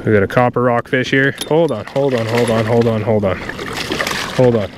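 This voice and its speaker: A man's voice: mid-range voice, moderate pace. A man vlogging from his kayak on open water, chatting casually to viewers while he fishes. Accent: Canadian. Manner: laid-back, chatty, talks to viewers as he fishes. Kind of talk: casual on-water narration to camera. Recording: clear outdoor water and wind noise. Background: wind and water noise.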